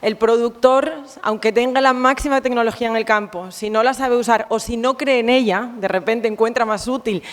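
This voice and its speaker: Woman, Spain Spanish accent, invited speaker at a conference; smooth, resonant voice, chatty and informal, reverberant hall. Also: fairly high pitch, steady tone, brisk, few pauses. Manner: friendly, chatty, many fillers and restarts. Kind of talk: conference talk.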